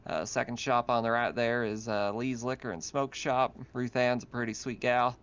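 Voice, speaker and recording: A man's voice: medium pitch, steady tone, normal speed, fairly bright tone, clean tone. A man working as game master, informally narrating a tabletop roleplaying game over an online call. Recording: slightly dull online voice-call audio.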